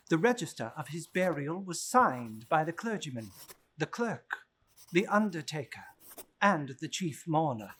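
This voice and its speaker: A man giving a dramatic, theatrical reading of a classic novel, slow and emphatic with big swings in pitch. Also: fairly bright tone, husky.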